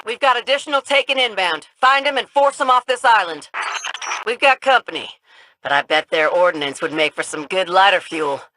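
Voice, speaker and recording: Bright-voiced woman, folksy and battle-ready game guide, some background noise. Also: mid-range voice, expressive, normal speed, low-quality recording. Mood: urgent, cocky. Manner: folksy, informal drawl. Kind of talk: video game mission voice lines.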